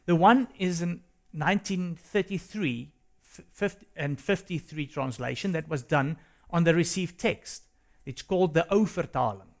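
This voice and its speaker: South African man, earnest and halting. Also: a little high, a little mellow. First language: Afrikaans. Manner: earnest, hesitant with restarts. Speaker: co-host. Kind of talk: studio discussion.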